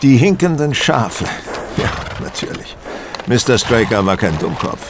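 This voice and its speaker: A man playing a shrewd, self-assured detective who explains things deliberately in a German audio drama. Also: medium pitch, sing-song, noisy background. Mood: assured, playful.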